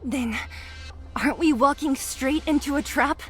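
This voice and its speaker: A woman's voice: high voice, unhurried, soft background music. An actress voicing a tough, determined young woman fighter in a video game, feisty and plain-spoken. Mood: wary. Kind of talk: anime-style video game voice acting.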